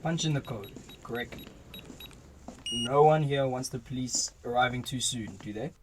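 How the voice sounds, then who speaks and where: A man's voice: medium pitch, moderate pace, a little hiss or hum, lightly acted, poor audio. A young man acting a terse, scornful robber who leads the plan in an amateur radio drama. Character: irritable, scheming male bank robbery planner.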